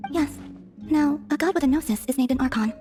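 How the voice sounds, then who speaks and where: A woman playing a serene, enigmatic young moon goddess in a video game cutscene, speaking formally, softly and with detachment. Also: sits high, soft background music, natural voice. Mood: detached. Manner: measured formal phrasing, soft, detached.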